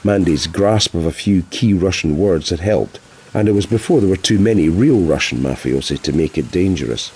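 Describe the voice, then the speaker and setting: A man narrates a novel for an audiobook. His voice sits very low and has a husky, roughened grain, delivering scripted prose as a storyteller. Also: animated, normal speed, a little mellow.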